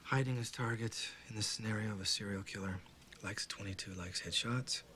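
An American actor in his forties playing a calm, menacing investigator with a shady past, terse and coolly confident, in a film. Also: on the low side, brisk, crowd noise, close to an everyday voice. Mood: quietly menacing. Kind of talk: film acting. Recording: film dialogue over noisy restaurant ambience.

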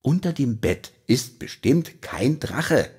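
A man narrating a children's story in an expressive, theatrical way. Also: mid-range voice, slow pace, husky, no room sound, clean studio sound. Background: music.

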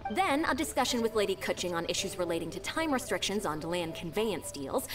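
A woman voicing a brisk, meticulous secretary. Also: medium pitch, very animated, close to an everyday voice. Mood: businesslike. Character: brisk young female secretary, meticulous, polite. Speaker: game character, secretary. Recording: distant voice with game music behind.

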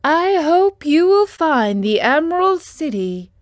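A woman voicing a kindly, helpful mother stork in a storybook, with a sharp, partly whispered delivery. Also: high voice, very animated, takes it slowly, no echo, studio quality, voice pushed up, exaggerated voice. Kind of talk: storybook character voice.

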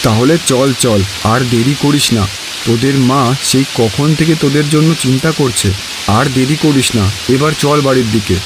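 A man's voice: medium pitch, moderate pace, background noise, natural voice. A man playing a caring, worried village farmer, husband and father in a Bengali cartoon story. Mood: hurried.

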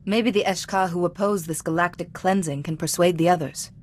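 A woman playing a calm, principled Jedi Master in a video game, composed, measured and formal. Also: lowish voice, a little hiss or hum, natural voice. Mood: composed, resolute. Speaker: game protagonist. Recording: music clearly under the voice.

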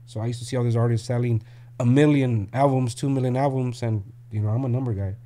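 A man's voice: mid-range voice, steady tone, fast talker, warm tone. Blunt middle-aged man, frank and open. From Mexico. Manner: blunt and open, many "you know" fillers and restarts. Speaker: record label CEO, guest. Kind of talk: candid interview talk. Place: office room with desk and paintings. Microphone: studio mic on a boom arm.

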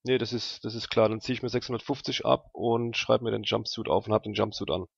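A man's voice: fairly low pitch, fairly even intonation, brisk, vocal fry, slightly rough, dry recording, dull audio. A man playing a tabletop role-playing game, chatting casually and working through rules and numbers aloud.

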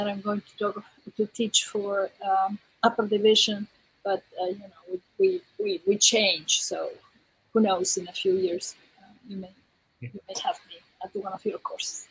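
Breathy woman with an Argentine accent. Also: on the high side, dry recording, low-quality recording. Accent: Argentine Spanish. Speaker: physics professor. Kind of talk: casual self-introduction.

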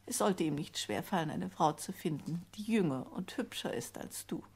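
A woman playing a domineering, spiteful old mother in a radio play, sharp, needling and commanding. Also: on the low side, expressive, normal speed, breathy edge. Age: older.

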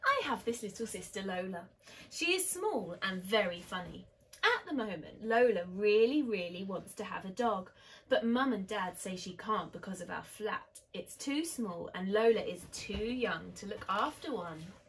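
Female storyteller, 30s, bedtime picture-book reading, lively swooping intonation, engaging a young audience. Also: medium pitch, normal speed, slight background noise. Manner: expressive, with addresses to the young listener. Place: small bedroom, indoors.

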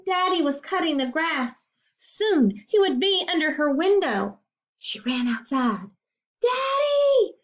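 A middle-aged woman teacher reading a children's picture book aloud at home, slow and expressive, pausing to ask her listeners questions. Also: high-pitched. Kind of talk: children's storybook read-aloud. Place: home living room. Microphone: webcam screen recording. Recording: dull lo-fi webcam audio.

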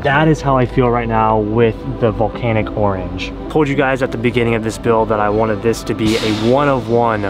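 Hyped American man vlogging over music. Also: mid-range voice. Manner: hyped, slangy. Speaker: car vlogger. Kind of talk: casual excited vlogging. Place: car park and paint shop. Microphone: handheld camera at arm's length.

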